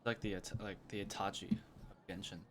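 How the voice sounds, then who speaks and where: A man's voice: on the low side, little pitch movement, brisk, a bit bright, slight background noise. A man streaming a video game, reacting casually in brief, slangy asides. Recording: game music behind the voice.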